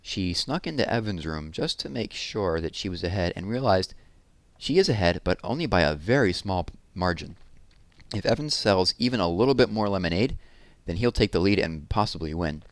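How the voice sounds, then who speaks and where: Male read-aloud narrator: deep register, dry sound, expressive delivery, deliberate stress on dramatic words. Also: dry recording.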